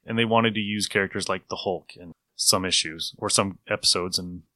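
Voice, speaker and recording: A man co-hosting a casual review podcast, explaining in a geeky, animated way and repeating himself for emphasis. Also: low voice, moderate pace, no echo. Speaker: podcast co-host. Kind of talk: casual review chat about old radio episodes.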